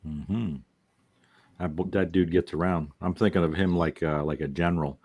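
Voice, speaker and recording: A middle-aged man with a very deep voice talks informally as a panelist. His tone is husky and unpolished, in the easy style of a casual video-call discussion. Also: fairly even intonation, moderate pace, darkish tone. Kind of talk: casual chat. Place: video call from an indoor room.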